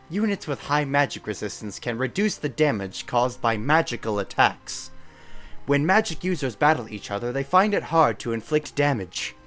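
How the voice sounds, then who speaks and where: A man's voice: mid-range voice, gravelly, bright, forceful tone. A man hosting a casual fan dub, laughing often and reading game tutorial text. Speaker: host and narrator of a fan dub.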